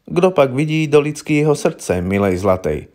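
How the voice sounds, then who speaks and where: A shrewd old country herbalist woman from an audiobook, acted by a man, pitched up well above a narrator's register. She speaks in blunt, rustic turns of phrase, wary and gruff, as one who knows everybody's business. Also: sing-song, darkish tone. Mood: guarded, softening. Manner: rustic colloquial Czech, blunt and knowing. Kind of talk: audiobook character voice.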